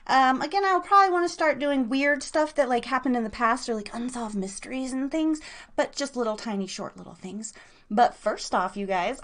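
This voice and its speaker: Casting: female live radio and podcast host, higher-pitched voice, chatty, slangy, loose and rambling delivery. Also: fairly bright tone. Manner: informal, slangy, rambling, addresses listeners directly. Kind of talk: casual, chatty live show talk.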